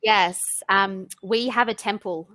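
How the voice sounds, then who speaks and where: A young woman with an Australian accent, chatting relaxed and friendly as an English tutor on a video call. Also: medium pitch, takes it slowly, no echo. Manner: relaxed, chatty, many fillers. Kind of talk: casual online lesson chat.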